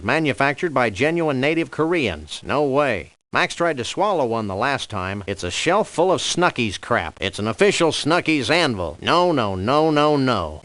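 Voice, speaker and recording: A man voicing a wry cartoon dog detective in a comic adventure game, with dry, wordy, deadpan sarcasm. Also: medium pitch, dry recording. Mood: dry, matter-of-fact.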